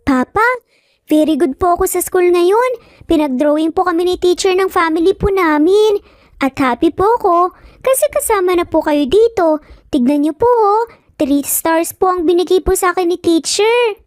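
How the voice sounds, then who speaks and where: A woman's voice: very high voice, moderate pace, dry recording, clean studio sound, pitched above the performer's own voice. A woman with a Filipino accent voicing a cheerful, polite little schoolboy in a narrated story. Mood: cheerful, proud. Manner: sweet, childlike, eager. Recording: soft music under the voice.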